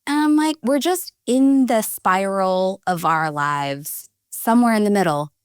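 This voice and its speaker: A woman's voice: fairly low pitch, clean tone, no echo, studio quality. A woman in her forties, a guest leadership coach, speaking reflectively in a casual interview. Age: 40s.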